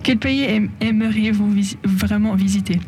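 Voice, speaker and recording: A young student, co-hosting a school radio interview and asking questions in a quick, giggly way. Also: mid-range voice, steady tone, darkish tone. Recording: noisy room mic.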